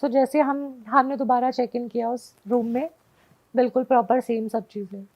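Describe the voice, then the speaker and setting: A high-voiced woman tells her story in a casual, chatty way as a guest. She drops English phrases in often. The sound is slightly dull and lo-fi. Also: steady tone, moderate pace. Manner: mixes Hindi with frequent English phrases. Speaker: guest telling a personal ghost story.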